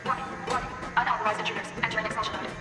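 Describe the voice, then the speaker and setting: A woman's voice: a little high, flat delivery, racing, close to an everyday voice. A woman voicing an impersonal security robot in a video game, with clipped, mechanical announcements. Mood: cold alert. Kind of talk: English video game voice acting. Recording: very loud music over announcement.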